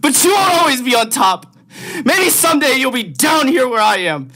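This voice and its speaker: Young male actor, condemned prisoner, radio drama, overwrought, stammering, piercing bright tone, very animated pitch. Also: normal speed, heavily acted. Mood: bitter, vengeful. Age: young adult. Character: desperate, bitter condemned male prisoner, vengeful. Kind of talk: vintage radio drama acting.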